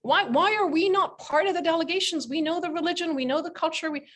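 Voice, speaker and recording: A woman's voice: extremely high pitch, fast, fairly bright tone. A woman speaking emphatically and indignantly on a panel over a video call, repeating words for force. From Britain. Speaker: panel speaker, head of a peace-building organization. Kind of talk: impassioned panel talk. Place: indoor room with framed pictures, on a video call.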